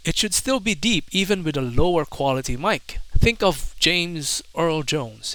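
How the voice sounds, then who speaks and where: Higher-pitched man giving critical commentary. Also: fairly bright tone, poor audio. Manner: opinionated, accusatory. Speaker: video commentator.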